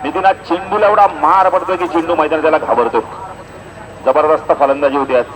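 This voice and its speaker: A man giving live play-by-play cricket commentary in an animated announcer's delivery, with punchy stock phrases. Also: a little high, a little fast, poor audio. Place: outdoor cricket ground. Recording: outdoor event PA with crowd noise. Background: crowd and outdoor event noise.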